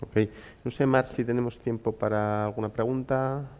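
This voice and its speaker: A man moderating a conference panel, handing the floor over with brisk, short prompts. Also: mid-range voice, steady tone, darkish tone, a little hiss or hum. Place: conference venue.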